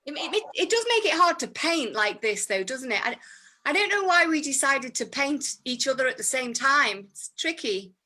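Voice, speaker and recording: A woman's voice: sits high, very animated, normal speed, bright, forceful tone. A woman hosting an improv scene, chatty and giggly with lots of fillers. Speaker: improv performer and host. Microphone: video call.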